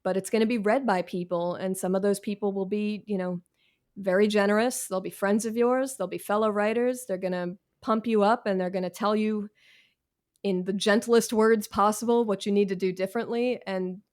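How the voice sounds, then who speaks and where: A woman's voice: mid-range voice, steady tone, moderate pace, darkish tone. A woman answering interview questions as a writer, candid and self-deprecating, with slangy, conversational phrasing. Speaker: guest, professional writer.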